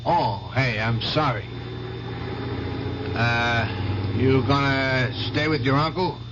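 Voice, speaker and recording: Man playing a blunt, gruff trucker, muffled noisy recording. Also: medium pitch, slow pace, natural voice. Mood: friendly. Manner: blunt, colloquial, folksy. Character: gruff, good-natured working-class male truck driver. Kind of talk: radio drama acting. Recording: muffled, noisy old radio broadcast.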